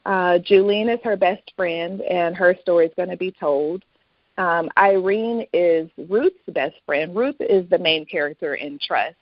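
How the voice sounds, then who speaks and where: A woman's voice: on the low side, a little slow, no echo, dull audio. A woman speaking as a guest author in a casual interview, explaining things in a conversational way.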